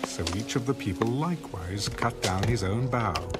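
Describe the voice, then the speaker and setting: Male narrator, low register, solemn measured scripture reading with music underneath. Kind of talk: dramatized audio Bible narration. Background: background music.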